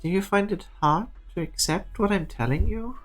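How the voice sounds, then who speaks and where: A woman's voice: medium pitch, moderate pace, soft background music, close to an everyday voice. A woman voicing a cool, impatient, calculating character in a game fan dub. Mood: sly, probing.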